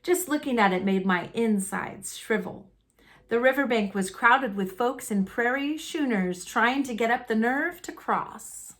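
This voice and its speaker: Woman, fairly high voice, animated folksy read-aloud of a picture book for children. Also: measured pace.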